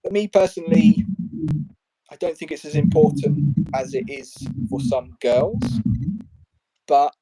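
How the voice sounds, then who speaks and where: A British man co-hosting a casual chat, measured and reflective, thinking aloud. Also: on the high side, dark tone, poor audio. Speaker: co-host.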